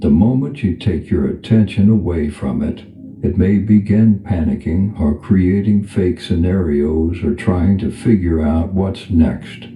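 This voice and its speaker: Very deep, mellow man guiding meditation. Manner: gentle, unhurried, instructional. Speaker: meditation guide. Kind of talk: guided meditation, calm spiritual teaching. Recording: echoey reverb over background music. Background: music.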